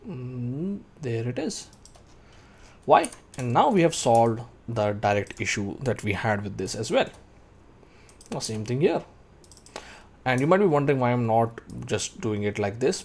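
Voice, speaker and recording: A man in his thirties narrating a step-by-step software tutorial, explaining each action as he does it. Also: medium pitch, sing-song, normal speed, vocal fry. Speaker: tutorial instructor.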